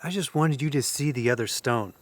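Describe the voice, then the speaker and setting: A man's voice: medium pitch, fairly quick, lightly acted. An actor playing a brash, take-charge young man in an audio drama, talking in a casual, coarse way. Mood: casual, leading.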